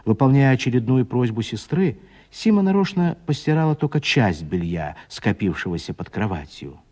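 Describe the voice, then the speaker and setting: Male narrator, mellow dark tone, very varied intonation, expressive literary storytelling, radio drama. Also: mid-range voice, normal speed.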